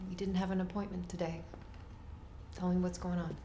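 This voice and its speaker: A woman playing a calm therapist in an audio horror drama, speaking in a measured, reassuring way. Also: on the low side, slight background noise, lightly acted. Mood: calm, clinical. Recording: faint music behind the voice.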